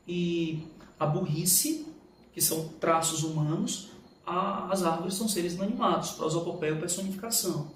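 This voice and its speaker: High-voiced Brazilian male teacher, echoey room. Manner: emphatic, didactic, asks and answers own questions; informal, slangy. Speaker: literature teacher. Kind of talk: live lesson, walking through exam questions. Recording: echoey room, distant mic, faint noise.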